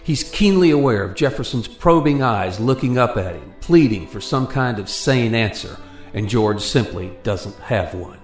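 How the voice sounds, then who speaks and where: A male narrator reads a scripted radio play. His mid-pitched voice has a roomy echo and sits over music. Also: expressive, moderate pace.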